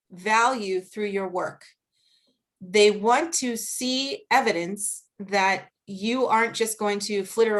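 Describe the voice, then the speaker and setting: A woman with a Boston accent speaking as a guest researcher on a video-call panel, earnest and measured, laughing easily during questions. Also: medium pitch, a bit bright. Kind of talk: academic panel talk and Q&A.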